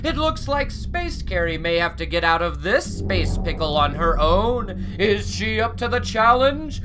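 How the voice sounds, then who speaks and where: A woman's voice: mid-range voice, sing-song, measured pace, music in the background. A woman narrating a scripted audio drama with theatrical, suspenseful storytelling.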